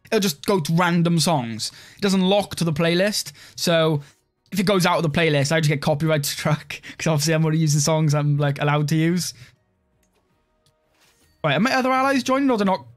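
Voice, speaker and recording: A young man talks through a live strategy-game stream in a relaxed, rambling way, answering chat as he plays. His voice sits a little high for a man. Soft music plays faintly behind him. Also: fairly quick. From Wales. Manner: relaxed, rambling, heavy use of "like". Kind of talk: live-stream gameplay commentary, reading chat.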